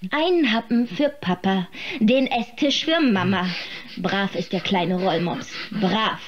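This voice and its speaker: An actress playing a scheming, grasping woman in a radio play, wheedling with drawn-out emphasis. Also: medium pitch, lo-fi sound, natural voice. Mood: mock-babying. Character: scheming, grasping woman who coos and bargains with food. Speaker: actor in an audio drama. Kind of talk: theatrical German radio play acting.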